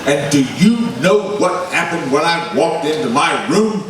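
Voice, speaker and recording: Far off in a very echoey, noisy hall, a man plays a blustery newspaper boss in old-time radio style. He is frazzled and exasperated, slow and emphatic, with big swings in pitch. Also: expressive. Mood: agitated, confiding. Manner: exasperated, theatrical, emphatic delivery. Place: live stage recreation at a festival.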